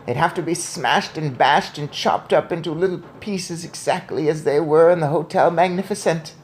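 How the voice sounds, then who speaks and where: A woman voicing a fierce, bossy, excitable old grandmother in an emphatic, theatrical storybook reading. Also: low-pitched, normal speed, a little hiss or hum, pitched above the performer's own voice, natural voice. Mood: impatient, fierce. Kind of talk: storybook read-aloud.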